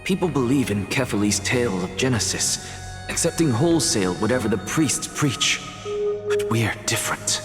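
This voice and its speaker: A man voicing a defiant young male student in a video game, speaking in a measured, scornful, resolute way. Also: mid-range voice, animated, natural voice. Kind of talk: dramatic video game voice acting. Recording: long hall reverb, music behind. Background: music.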